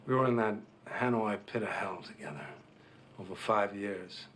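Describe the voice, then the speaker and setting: Low-voiced, solemn veteran captain. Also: male voice, animated, fairly bright tone. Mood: solemn, friendly. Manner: slow, halting, earnest storytelling with long pauses. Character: solemn middle-aged war veteran captain addressing a small boy. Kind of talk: film drama monologue. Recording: noisy film soundtrack.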